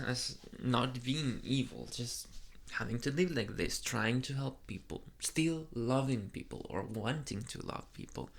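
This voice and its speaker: Guy doing a shy flustered young vampire boyfriend, asmr roleplay, talks real slow and halting, lots of vocal fry. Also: mid-range voice, fairly bright tone. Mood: tender, wistful. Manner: hesitant, halting, earnest, murmuring. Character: soft, flustered, earnest young male vampire on a date. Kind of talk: ASMR boyfriend roleplay, soft intimate monologue.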